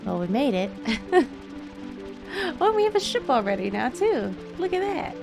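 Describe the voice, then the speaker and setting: A woman's voice: high-pitched, very animated, normal speed, gravelly. A giggly, chatty woman chatting casually and reacting as she streams a video game. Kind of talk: casual livestream chat and gameplay reactions. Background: game music.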